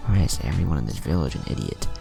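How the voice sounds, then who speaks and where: Cocky, sarcastic young ninja read by a man, very deep gravelly voice, music underneath. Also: big pitch swings, quick pace, full character voice. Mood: exasperated. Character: teenage boy ninja, blunt, cocky, exasperated.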